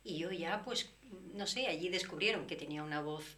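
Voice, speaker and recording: A woman singer, guest in an interview, explaining her art at length in a relaxed, reflective way. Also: lowish voice, a little fast. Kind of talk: relaxed conversation.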